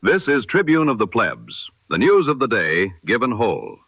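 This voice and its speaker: A man reading a scripted news bulletin in the style of 1920s radio, with a formal, measured and solemn delivery. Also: mid-range voice, very animated, slightly rough, no echo, lo-fi sound. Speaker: news announcer of an audio history broadcast.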